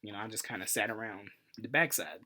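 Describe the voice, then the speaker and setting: A man telling a personal story casually as a vlog host, in an informal, animated way with self-deprecating humor. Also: fairly low pitch, fast. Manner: animated retelling, acts out dialogue. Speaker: vlogger, channel host.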